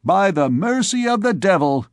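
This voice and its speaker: A man's voice: high voice, very animated, clean tone, dry recording, studio quality, pitched above the performer's own voice. A man doing a cheeky young student imp. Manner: teasing, quick and boastful. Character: impish young male student, mocking and cheeky.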